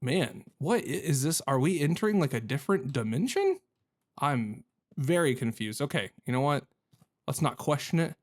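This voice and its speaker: A man's voice: medium pitch, very animated, normal speed. A man giving casual, reactive gameplay commentary in a rambling, jokey manner with goofy mild exclamations. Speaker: gamer hosting a playthrough video.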